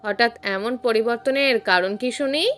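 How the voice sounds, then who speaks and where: A woman's voice: a little high, a little slow, fairly bright tone, faint music behind. A woman voicing a grave, stern older family patriarch who probes and accuses in an audio story. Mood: stern, accusing. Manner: grave, probing.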